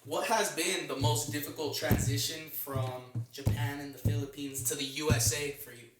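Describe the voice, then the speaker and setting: A man's voice: a little high, steady tone, moderate pace. A male podcast host with an upbeat, chummy way of talking, introducing and questioning his guest. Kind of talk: casual podcast interview. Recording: very echoey room, distant from mic, faint noise.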